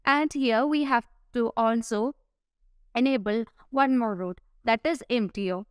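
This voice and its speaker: Clear-voiced woman walking through software. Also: a little high, a little slow, no room sound, clean studio sound. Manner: explains step by step with frequent "uh" fillers. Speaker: software tutorial presenter. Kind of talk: screen-recorded software walkthrough.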